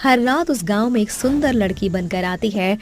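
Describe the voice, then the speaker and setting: A woman's voice: mid-range voice, animated, moderate pace, slight background noise. A woman reading scripted narration for a cartoon horror story. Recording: background music under the voice.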